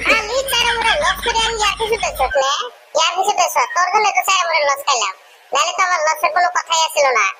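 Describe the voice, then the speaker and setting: A tiny, extremely high cartoon squeal as an aggrieved village fisherman. He grumbles and scolds sarcastically in a rural Bengali dialect. The voice is electronically pitched up, with clear noise behind it. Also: fast talker, heavily acted. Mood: bitterly aggrieved. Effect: pitch-raised squeaky cartoon voice. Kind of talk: comic cartoon dialogue. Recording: loud background noise.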